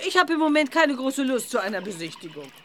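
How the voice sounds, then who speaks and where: Relaxed witch mother, high animated voice, children's radio play, faint noise behind. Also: quick pace. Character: easygoing witch mother on holiday. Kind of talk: German children's audio play acting.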